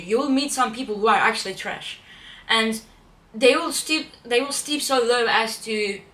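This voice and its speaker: A woman's voice: a little high, bright, forceful tone, distant mic. A young woman from Turkey whose first language is Turkish, talking casually to camera, chatty and often restarting her phrases. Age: young adult. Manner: chatty, repeats and restarts phrases, self-correcting. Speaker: vlogger. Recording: echoey room, voice a bit off-mic.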